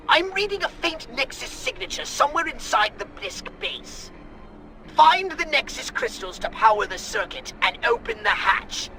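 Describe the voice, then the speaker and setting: A man playing an excitable, scheming, pompous alien commander in a video game, verbose and theatrical, prone to bursting into shouts. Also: very high voice, vocal fry, heavily acted. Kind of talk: animated video game acting.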